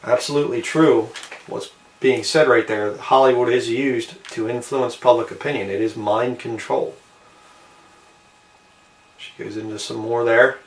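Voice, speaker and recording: A man's voice: mid-range voice, fairly even intonation, measured pace, long pauses, recorded from a distance. Man reading aloud in an echoey room. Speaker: video host reading and commenting on an old newspaper. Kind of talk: informal commentary mixed with reading aloud. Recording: roomy, set back from the mic.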